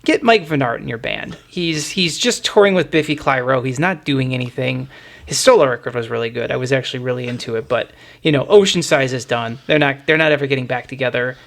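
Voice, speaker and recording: A man's voice: mid-range voice, brisk, gravelly, fairly bright tone. A man hosting a casual music discussion, talking in a rambling, opinionated way. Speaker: music podcast host.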